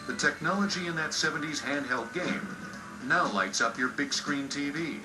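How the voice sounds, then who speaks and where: A man's voice: fairly high pitch, normal speed. American male documentary narrator over music. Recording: roomy sound under loud music bed.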